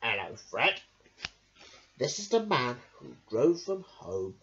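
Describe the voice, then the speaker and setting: A young adult reading children's picture books aloud in an emphatic, deliberate way. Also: medium pitch, sing-song, slight background noise. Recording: dull lo-fi camera sound.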